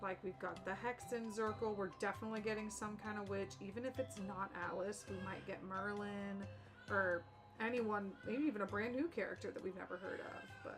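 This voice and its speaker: A woman's voice: medium pitch, fairly even intonation, moderate pace. A young woman giving casual gaming commentary as a streamer, chatty, slangy and opinionated. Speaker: game streamer. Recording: game music behind the voice.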